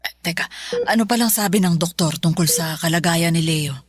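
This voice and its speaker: A woman playing a steady, gentle older Filipino grandmother in a radio drama, reassuring in manner. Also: on the low side, brisk, husky, no echo, studio quality. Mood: comforting, steady. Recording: music bed behind.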